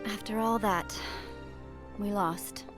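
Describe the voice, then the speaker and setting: A woman voicing an earnest, grave female senator in an animated series, speaking in a measured, deliberate way. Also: medium pitch, music in the background, close to an everyday voice.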